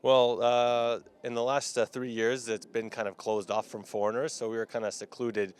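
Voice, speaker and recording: A man from Florida with an American accent answering interview questions casually, with halting, hesitant phrasing. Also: mid-range voice, little pitch movement, clean tone. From Florida, United States.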